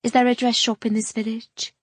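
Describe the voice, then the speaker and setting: A woman reading an audiobook, voicing a proud, tired young noblewoman who speaks in terse, quiet lines. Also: fairly high pitch, little pitch movement, a little fast, slightly rough, dry recording. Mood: curt.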